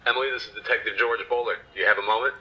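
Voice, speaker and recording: A man's voice: mid-range voice, fairly even intonation, very fast, bright, forceful tone. A man acting as a businesslike, casually persuasive police detective in an audio drama, heard through a telephone filter. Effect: telephone call filter. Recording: band-limited phone-call sound.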